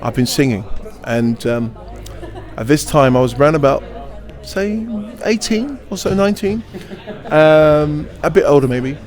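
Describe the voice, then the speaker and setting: A male stage actor tells his story in a loose, rambling interview style, dropping playful asides as he goes. His voice sits somewhat high for a man. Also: lively intonation, a little slow, a little mellow. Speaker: pantomime actor. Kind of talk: casual interview answers.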